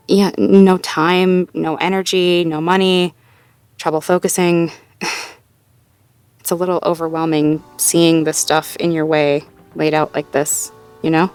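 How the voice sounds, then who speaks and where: Supportive, playful girlfriend, played by a woman, lower-pitched voice. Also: flat delivery, measured pace, clear, resonant tone, no room sound, soft background music. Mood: discouraged, thoughtful. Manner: gentle, encouraging, lightly teasing, natural hesitations and chuckles. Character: supportive, playful girlfriend helping partner problem-solve. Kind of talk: intimate roleplay audio, one-sided conversation.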